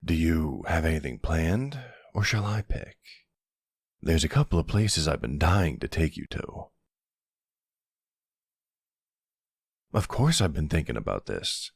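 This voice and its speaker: Man playing a deep, gravelly flirty mafia boss. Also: very animated, moderate pace, dry recording, studio quality, heavily acted. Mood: flirty, affectionate.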